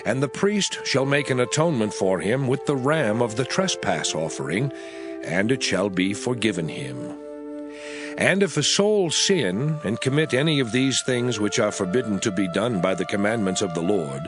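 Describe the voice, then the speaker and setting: Solemn man reading scripture with lively intonation. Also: fairly low pitch, over music. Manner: solemn, measured, declamatory. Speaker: scripture reader.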